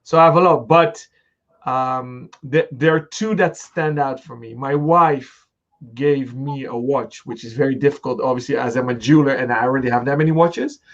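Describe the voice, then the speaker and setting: A middle-aged man hosting a conversational interview, talking in a halting, thinking-aloud way on a slightly lo-fi recording. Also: mid-range voice.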